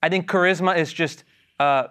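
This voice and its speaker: Man, guest commentator, measured, articulate, smooth clear voice, fairly high. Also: steady tone, fairly bright tone. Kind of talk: opinionated commentary.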